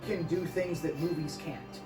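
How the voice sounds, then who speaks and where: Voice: mid-range voice, fairly even intonation, moderate pace. A podcast co-host chatting casually in an opinionated, rambling, emphatic way and swearing freely. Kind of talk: casual discussion. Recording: roomy echo, distant mic, music behind. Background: music.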